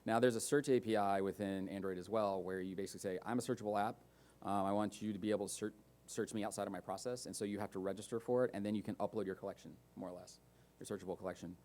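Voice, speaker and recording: Deep-voiced guy at a dev conference, loose and chatty, lots of ums. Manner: relaxed, lots of fillers and asides. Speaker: conference speaker. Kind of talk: casual technical talk with audience questions. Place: conference room.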